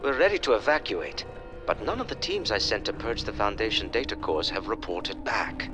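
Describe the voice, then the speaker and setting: A man's voice: fairly low pitch, lively intonation, normal speed, music in the background, close to an everyday voice. An actor playing an anxious male scientist making an earnest plea. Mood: pleading. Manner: earnest, pleading.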